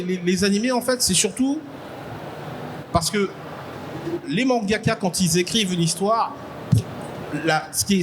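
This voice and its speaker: A man from Congo, fairly high-voiced, speaks on a live convention stage. He searches for words aloud and often restarts his phrases. Loud crowd ambience fills the background. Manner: halting, repeats words while thinking. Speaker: podcast guest speaker. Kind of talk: live panel discussion. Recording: noisy live event ambience.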